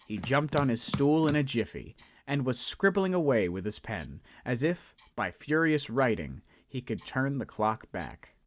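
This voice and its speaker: A man narrating a radio drama in an expressive storytelling manner. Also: medium pitch, a little slow, few pauses, no echo, studio quality.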